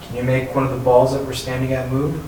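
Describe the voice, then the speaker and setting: A young man, a paranormal investigator, making short replies and quick reactions during a night ghost hunt in a barn. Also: medium pitch, flat delivery. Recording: echoey room, distant mic, loud noise.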